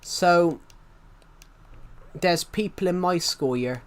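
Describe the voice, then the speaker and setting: A man's voice: sits high, slow. Rambling young man who repeats himself. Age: 20s. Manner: rambling, repeats each phrase before going on. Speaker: streamer. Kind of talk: rambling casual livestream chat.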